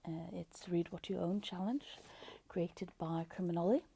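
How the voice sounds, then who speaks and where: A woman with a Norwegian accent, a native Norwegian speaker, hosting a casual book review vlog in a halting way, full of ums and uhs, with soft whispery asides. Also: fairly low pitch, creaky, slightly rough. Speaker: booktube host.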